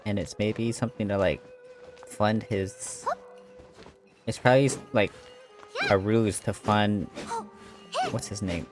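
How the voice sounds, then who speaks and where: A man hosting a gaming video, casually commenting and reading dialogue aloud in a halting way. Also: on the low side, steady tone. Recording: game music playing underneath.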